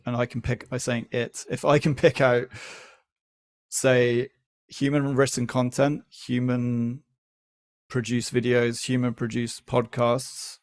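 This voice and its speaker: A man speaking as a podcast guest, explaining his views in a measured, deliberate, conversational way. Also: mid-range voice. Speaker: podcast guest, newsletter founder. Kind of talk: conversational interview.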